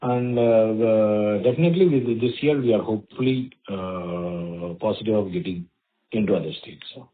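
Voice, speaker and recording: An executive answers investors haltingly, repeating words and leaning on fillers. His voice is somewhat low. It comes through a narrow, tinny phone line. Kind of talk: earnings call answers. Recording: phone-line call audio.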